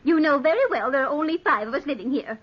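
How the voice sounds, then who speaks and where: A woman's voice: high voice, fast, close to an everyday voice. An actress playing a timid, fretful lady who scares easily, fluttery and anxious in old-time radio horror style. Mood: anxious, insistent. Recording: dull vintage radio recording.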